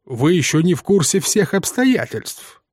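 Audiobook role: officious police inspector man acting, pompous, insistent, highly animated intonation, dry sound. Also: measured pace, husky, dry recording, heavily acted. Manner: formal, insistent, self-important. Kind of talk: dramatised audiobook dialogue.

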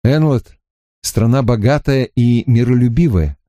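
A man voicing a calm, dreamy young male prince who speaks in a slow, measured way in an audiobook reading. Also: mid-range voice, no room sound, clean studio sound, lightly acted.

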